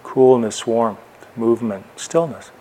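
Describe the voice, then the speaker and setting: Male meditation teacher, mid-pitched, short unhurried phrases with long pauses, clear ambient noise behind the voice. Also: dark tone, low-quality recording. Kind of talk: guided meditation instruction.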